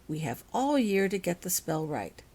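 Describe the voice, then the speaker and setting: A woman voicing a calm, dreamy witch professor in a storybook reading, serene and unhurried. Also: fairly low pitch, husky.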